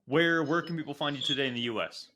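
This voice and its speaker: A man hosting a podcast, asking quick casual questions and joking with his guests. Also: fairly high pitch, pushed and bright. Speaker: podcast host.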